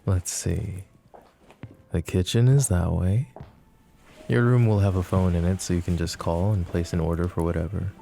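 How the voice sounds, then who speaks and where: A man voicing a possessive, flirtatious mafia boss in an ASMR roleplay drama, with a low, soft, teasing drawl. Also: steady tone, moderate pace, gravelly. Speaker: voice actor.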